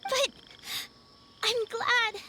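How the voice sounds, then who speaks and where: A woman voicing an earnest, wistful young girl in an anime game, speaking softly, hesitantly and sincerely. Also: very high-pitched, extremely slow, background noise, full character voice. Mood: sincere, reflective.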